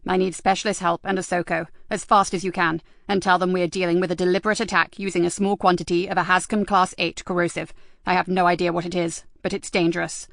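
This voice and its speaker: A woman's voice: on the low side, flat delivery, fast talker, vocal fry, no room sound, clean studio sound. A woman voicing a male detective sergeant giving urgent, clipped orders. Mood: commanding.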